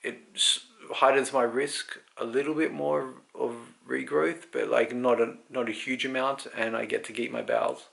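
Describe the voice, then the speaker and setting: A man's voice: mid-range voice, little pitch movement, normal speed, vocal fry, hardly any pauses, a bit bright. A man in his thirties giving a casual, unscripted personal update, candid and rambling as he thinks aloud. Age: 30s. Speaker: vlogger.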